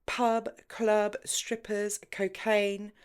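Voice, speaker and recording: A woman's voice: medium pitch, level tone, drawn-out words, smooth, pitched above the performer's own voice. A woman voicing a mischievous, free-spirited, tipsy woman with a dramatic, insistent manner in an audiobook.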